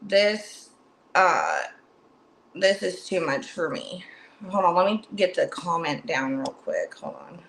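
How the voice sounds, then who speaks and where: Gravelly chatty woman. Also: fairly low pitch, huge pitch swings, takes it slowly, a bit bright. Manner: chatty, lots of fillers and restarts. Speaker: livestream host. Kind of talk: casual livestream chat.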